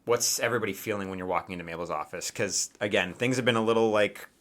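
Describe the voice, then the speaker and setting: A man's voice: fairly low pitch, fast talker, a bit bright. A man narrating a tabletop game as game master in a casual, conversational way, improvising as he goes and often checking in with his players. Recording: faint music behind the voice. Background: faint music.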